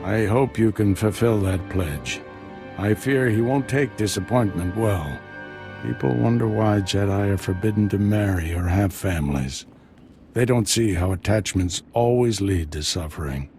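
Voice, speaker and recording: A grave Jedi master in a video game. His low voice is solemn and commanding. Music plays loudly behind him. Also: unhurried. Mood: solemn warning. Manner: solemn, authoritative.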